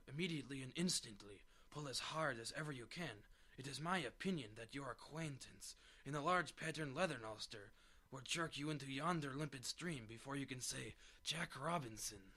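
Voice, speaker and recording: Man putting on a long-winded python, formal, bright, slightly husky, storybook snake. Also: mid-range voice, animated, moderate pace, pitched above the performer's own voice. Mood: urgent, pompous. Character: pompous wordy python snake.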